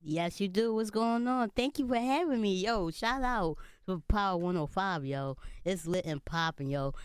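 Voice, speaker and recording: A man's voice: high-pitched, moderate pace, clear, resonant tone, dry recording, clean studio sound. A man in his late twenties, a comedian guest in a casual interview, talking earnestly and often saying "you know". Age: 20s. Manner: earnest, speaks of faith, many "you know" fillers.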